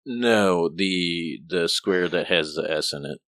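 A man's voice: low voice, slow pace, a bit bright, dry recording, studio quality. A man narrating as game master in a casual, rambling way, full of fillers and restarts. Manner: rambling, hesitant with many fillers.

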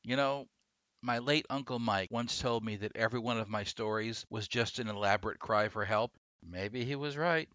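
A man's voice: fairly low pitch, moderate pace, pushed and bright, no echo, dull audio. A man hosting a podcast, speaking in a casual, conversational, self-deprecating way to his listeners. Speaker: podcast host. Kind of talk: casual scripted podcast outro.

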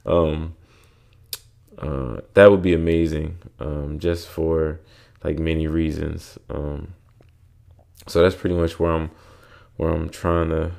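Young male podcast host, congested and sore-throated; deep, dark, gravelly voice, rambling casual delivery. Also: flat delivery, slow, long pauses. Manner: rambling, many fillers and restarts. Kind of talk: casual solo podcast chat.

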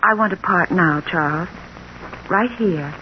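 An actress in a 1940s radio drama playing a gentle, dutiful career woman, restrained and earnest with her old love. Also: lowish voice, unhurried, close to an everyday voice. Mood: sad, resolute. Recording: muffled, noisy old radio recording.

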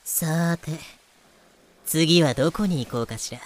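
A woman's voice: low-pitched, measured pace. A woman playing a flamboyant, cocky female pirate captain in a fan-made audio drama, breezy and self-assured. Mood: breezy, confident.

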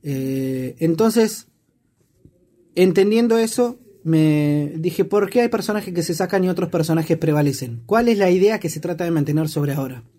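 A man's voice: fairly high pitch, animated, moderate pace, warm tone. A man with an Argentine accent explaining his views in a casual discussion, reflective and asking rhetorical questions. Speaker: discussion participant.

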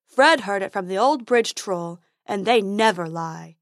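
Woman playing a dim, earnest storybook creature: slow, loud, drawn out. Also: mid-range voice, animated, dry recording, studio quality. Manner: slow, loud, earnest, refers to himself by name. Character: simple-minded male creature who talks in third person.

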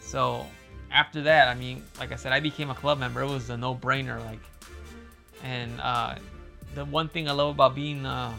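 A man with a loud, booming voice that is gravelly and bright-edged. He rambles in a casual monologue, circling back with fillers and restarts. Faint guitar music plays behind him. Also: steady tone, normal speed. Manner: rambling, repeats himself, many fillers and restarts. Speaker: wrestling fan commentator.

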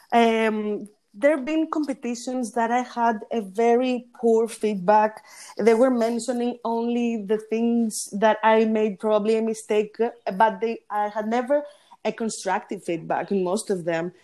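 A woman with a deep, gritty voice, a singing competition judge talking in a casual interview and pausing often on fillers. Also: steady tone, a little mellow. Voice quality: deep voice with grit. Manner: hesitant, pauses with fillers.

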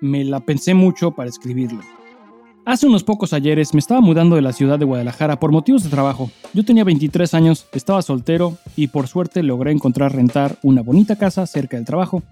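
A man's voice: fairly high pitch, animated, fast talker, warm tone, faint music behind. A man with a Mexican accent co-hosting a casual podcast, talking in loose, sweary slang as he chats and reads listener mail. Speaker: podcast co-host.

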